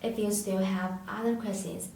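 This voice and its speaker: A woman who is a non-native English speaker, giving an unscripted product tutorial in a halting, hesitant way with searching pauses. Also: mid-range voice, steady tone. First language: not English. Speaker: product demonstrator. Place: echoey indoor room, tabletop demonstration. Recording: echoey room, distant mic, faint noise.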